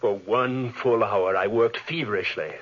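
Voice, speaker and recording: An actor playing a tormented scientist haunted by guilt and fear, melodramatic and halting, in 1940s radio style. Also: male voice, fairly low pitch, fairly bright tone. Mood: grim narration. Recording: dull, husky-sounding 1940s radio recording.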